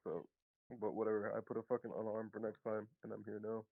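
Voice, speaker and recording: Lowish-voiced man, blunt and sweary. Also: completely flat delivery, fast talker, no room sound, phone-quality sound. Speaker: player in an online tabletop game. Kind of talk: casual chat. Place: online voice chat.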